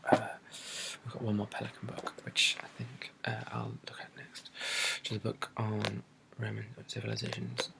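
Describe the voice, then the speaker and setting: A soft-spoken British man in a breathy ASMR bookshop roleplay, describing books in a hesitant, rambling way and repeating his phrases. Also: on the low side, monotone, moderate pace, rough recording. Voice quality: breathy, soft, often dropping to a whisper. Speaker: ASMR creator playing a bookshop assistant.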